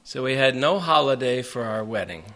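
An American man preaching to a congregation in short phrases, pausing for an interpreter after each one. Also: medium pitch, animated, a bit bright. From United States. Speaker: visiting preacher.

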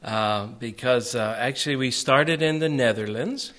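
An American man preaching to a congregation in short phrases, pausing for an interpreter after each one. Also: mid-range voice, fairly bright tone. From United States. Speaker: visiting preacher.

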